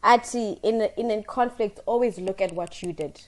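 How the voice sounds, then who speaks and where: A young South African woman hosting a video-call chat with a loud voice, earnest and switching into Zulu. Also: mid-range voice, normal speed. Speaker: host.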